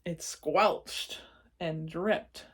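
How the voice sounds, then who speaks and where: A woman's voice: lowish voice, fairly even intonation, drawn-out words, husky. A woman narrating a children's storybook expressively, breaking off to explain and check in with young listeners. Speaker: read-aloud storyteller for children.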